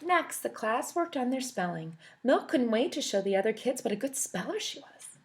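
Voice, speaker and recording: Expressive woman reading a picture book aloud. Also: on the high side, normal speed. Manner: expressive and animated, asking the listeners questions. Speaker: teacher.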